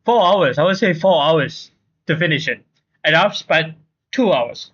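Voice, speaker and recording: A man livestreaming a game, chatting casually to viewers in a halting, repetitive, slangy way. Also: on the high side, expressive, fairly bright tone, breathy edge. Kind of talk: casual chat to stream viewers. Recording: dull lo-fi stream audio.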